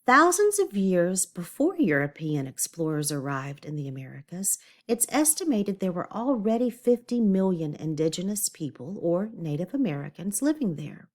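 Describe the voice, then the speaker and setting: A woman's voice: lowish voice, very animated, moderate pace. A woman teacher reading a lesson aloud to children, stopping to explain words simply in an instructional way. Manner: didactic, checks understanding, explains words simply.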